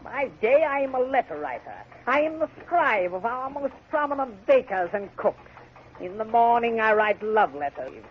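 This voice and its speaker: An actor playing a haughty, self-important gravedigger and scribe in a radio drama, with clipped, declamatory delivery. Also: male voice, very high voice, very animated, moderate pace. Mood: irritated, boastful. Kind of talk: golden age radio drama acting. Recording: muffled, noisy old radio broadcast.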